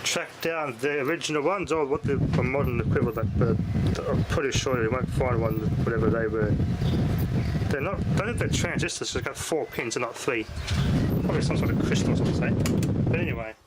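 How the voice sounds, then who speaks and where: A man talks in a loose, offhand way, thinking aloud as he works. His voice sits at an ordinary middle pitch. A loud air-conditioning hum runs under everything he says. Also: fairly quick. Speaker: hobbyist radio restorer. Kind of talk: casual workshop vlog.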